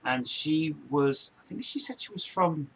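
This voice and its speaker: A man's voice: on the high side, animated, measured pace, fairly bright tone, a little hiss or hum, rough recording. An Englishman in his forties hosting a casual chat show from home, talking in a loose, meandering way that circles back and repeats itself. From England. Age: 40s. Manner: loose, meandering, repeats himself and restarts often. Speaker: show host.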